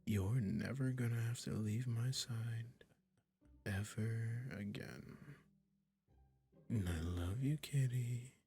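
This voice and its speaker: A man's voice: mid-range voice, slow, dark tone, soft background music. A man playing a smug, possessive, doting male witch in an intimate roleplay audio, cooing condescendingly. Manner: condescending, cooing, breathy endearments. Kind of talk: intimate ASMR roleplay acting.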